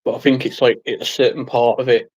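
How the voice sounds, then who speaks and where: A man in his forties chatting casually on his vlog, at an ordinary middle pitch. He tosses out dry, offhand jokes in an easy manner. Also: flat delivery, fast talker, a little mellow, husky, dull audio. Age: 40s. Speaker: vlogger. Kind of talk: casual chat.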